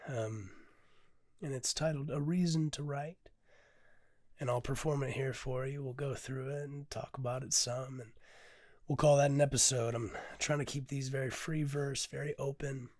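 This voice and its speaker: A hoarse man hosting his own podcast, talking candidly and unpolished with many ums, swearing freely, sometimes dropping to a hush, and reading his poetry. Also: medium pitch, fairly even intonation, moderate pace, no echo, clean studio sound. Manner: drops to a hush at times. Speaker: podcast host and poet. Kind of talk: casual reflective monologue with poetry reading.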